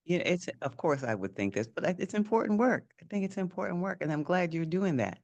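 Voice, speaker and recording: A woman's voice: lowish voice, animated, brisk, darkish tone. A woman hosting a podcast interview, friendly, often restarting and rephrasing her questions. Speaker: podcast host.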